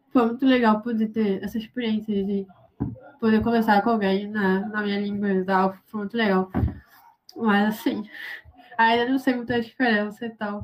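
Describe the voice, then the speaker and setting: A young woman with a Brazilian Portuguese accent answering interview questions in a hesitant way, with many fillers. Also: on the high side. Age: young adult. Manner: hesitant, frequent fillers and restarts. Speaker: interviewee, university student.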